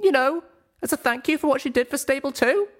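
A man voicing a small young female pony, anxious and earnest, in an audiobook. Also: brisk. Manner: high-pitched, pleading, earnest. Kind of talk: audiobook character voice.

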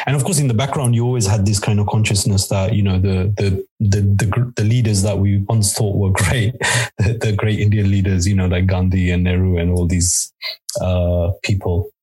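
A man's voice: low voice, mellow, breathy edge, rough recording. A middle-aged man from Kenya, a law academic answering questions thoughtfully, with frequent hesitations and restarts. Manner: hesitant, many restarts and fillers. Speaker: law academic, interview guest.

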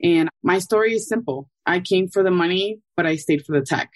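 A woman from Ecuador, a guest calmly explaining her background in an interview. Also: lowish voice, fairly quick, no echo. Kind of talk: casual interview answers.

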